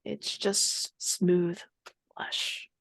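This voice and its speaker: A woman plays a tabletop roleplaying game, describing a scene as she invents it. She speaks slowly, with plenty of vocal fry. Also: on the low side, flat delivery, fairly bright tone, whispered. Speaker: player.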